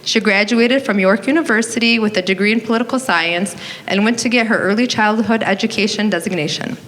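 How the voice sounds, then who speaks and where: A woman's voice: mid-range voice, fairly quick, a bit bright. Woman reading formal event introduction. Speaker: museum deputy director introducing speakers. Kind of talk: prepared introduction read aloud. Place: auditorium. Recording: reverberant auditorium, faint noise.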